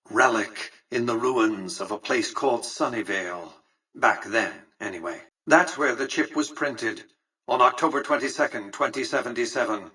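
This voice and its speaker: Imperious video game tycoon, formal, condescending, calculating, sharp forceful tone, rough-edged voice. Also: male voice, mid-range voice, distant mic, low-quality recording. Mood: cool, measured. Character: calculating, imperious male casino tycoon and would-be autocrat. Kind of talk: scripted video game dialogue.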